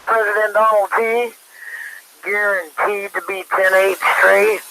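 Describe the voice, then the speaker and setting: A man's voice: high voice, level tone, unhurried, vocal fry. An American man rambling emphatically in salty slang, bantering and giving opinions casually over a CB radio. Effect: CB radio transmission. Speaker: CB radio operator. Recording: noisy CB radio transmission, static.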